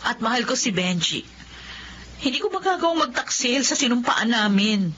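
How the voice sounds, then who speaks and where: A woman's voice: a little high, big pitch swings, moderate pace, over music. A woman playing an earnest, principled young wife and office employee in a Filipino radio drama, emotional and indignant. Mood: earnest, firm. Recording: dull, lo-fi audio with noisy background.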